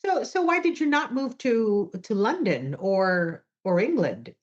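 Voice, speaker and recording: A woman's voice: a little high, sing-song, a little slow, lo-fi sound. A woman hosting an interview over a video call, enthusiastic and affirming toward her guest. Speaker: podcast host.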